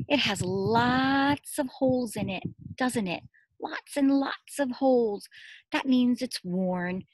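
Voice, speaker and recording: A middle-aged woman, a preschool teacher, reads a story aloud expressively, pausing to explain simply and ask small children questions. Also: on the high side, husky, dry recording, poor audio. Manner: expressive, addressing small children with simple words and emphatic repetition. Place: outdoors in a garden.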